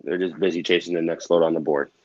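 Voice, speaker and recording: A man speaking casually as an interview guest, giving informal advice and repeating his points for emphasis, on low-fidelity audio. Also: fairly even intonation, fast, darkish tone, no echo, dull audio. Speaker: interview guest in the trucking business.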